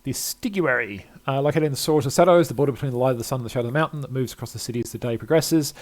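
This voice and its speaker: A man's voice: mid-range voice, racing. An older man doing gameplay commentary, reading story text aloud with hesitations and small asides.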